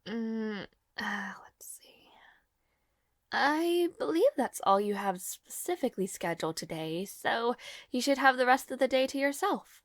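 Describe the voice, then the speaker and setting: Woman to play caring young maid for fantasy audio roleplay; higher register, unhurried, formal yet hesitant and apologetic delivery. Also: lively intonation, no echo, studio quality. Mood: polite, composed. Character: devoted young maid to a noble lord, nervous and caring. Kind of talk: scripted audio roleplay, intimate fantasy drama.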